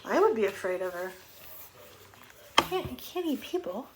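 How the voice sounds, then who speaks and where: A middle-aged woman reads a picture book aloud to young children, pausing to explain simply and ask questions in a conversational way. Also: on the high side, sing-song. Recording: clearly noisy home recording.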